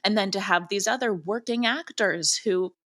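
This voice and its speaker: A woman's voice: medium pitch, moderate pace, fairly bright tone. A woman chatting casually as an interview guest, effusive and emphatic about her work. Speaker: audio drama creator and director, interview guest.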